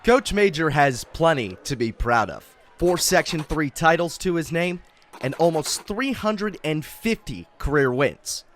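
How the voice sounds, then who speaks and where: A man's voice: a little high, animated, a little slow. A man in his twenties delivering sports broadcast narration and commentary as a reporter and announcer. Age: 20s.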